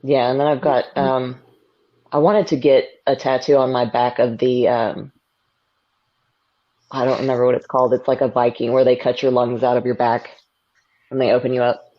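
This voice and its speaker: A woman guest with a deep voice, chatting casually and in a relaxed way on a live stream. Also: moderate pace, pauses often, lo-fi sound. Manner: relaxed, listing things off.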